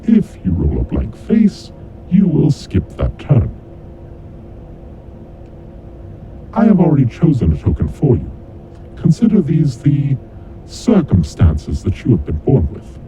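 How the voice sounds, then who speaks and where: A man acts out a menacing male kidnapper from a horror audio drama, cold and vengeful. He delivers his rules with theatrical, deliberate formality, his mid-pitched voice rising and plunging dramatically. The recording is dull and lo-fi, with clear rumbling noise behind him. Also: huge pitch swings. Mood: cold, instructing. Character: menacing male kidnapper mastermind, coldly vengeful game announcer.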